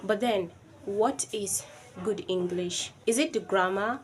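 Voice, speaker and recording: A woman's voice: mid-range voice, slow pace, faint music behind. A woman from Nigeria with a Nigerian accent whose first language is Yoruba. She talks to camera in an earnest, reflective way. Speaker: vlogger. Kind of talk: personal video talk to camera.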